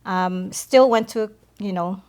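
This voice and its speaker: A woman from the Philippines, middle-aged, sharing a personal faith testimony as a podcast guest, speaking hesitantly with many pauses. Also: mid-range voice, darkish tone, clean tone.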